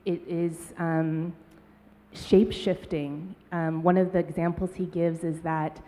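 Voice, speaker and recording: Woman panelist, mellow lowish voice, thinks aloud with many ums. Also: little pitch movement, slow. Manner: thoughtful, searching, many ums. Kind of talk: conference panel talk. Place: conference hall. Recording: long reverb of a hall.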